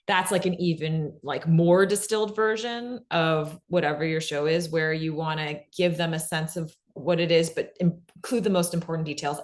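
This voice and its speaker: A woman on a panel giving informal, unscripted advice, often dropping in "like" and checking in with "right?". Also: low voice. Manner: informal, frequent "like" and "right?" tags. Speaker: panelist presenting on fiction podcast pitching. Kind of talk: casual unscripted advice talk.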